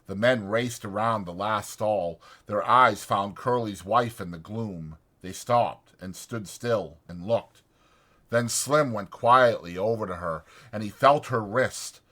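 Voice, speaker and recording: A man's voice: fairly low pitch, slow, a bit bright. A man reading a story as an audiobook narrator, in a dramatic scripted style.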